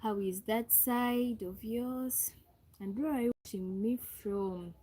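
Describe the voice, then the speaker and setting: Slow, mellow Ugandan woman livestreaming. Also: on the high side. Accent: Ugandan English. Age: young adult. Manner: repetitive, calls out to viewers and asks for thumbs up. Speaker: livestream host. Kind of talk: live stream greeting viewers.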